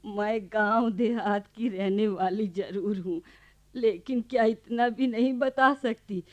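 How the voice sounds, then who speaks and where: A woman's voice: medium pitch, slow pace, lightly acted. A woman playing a simple, affectionate older village grandmother in a radio play. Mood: modest. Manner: homely, affectionate, mildly protesting. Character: simple, good-natured village grandmother devoted to card games. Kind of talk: Hindi radio play acting. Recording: dull lo-fi archival radio recording.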